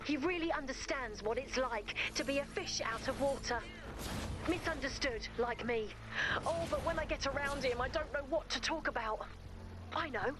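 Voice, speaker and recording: A woman playing an eccentric, sweet and nosy video game character, theatrical and expressive. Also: high-pitched, moderate pace, background noise, lightly acted. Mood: plaintive, earnest. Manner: theatrical, expressive, reads aloud dramatically.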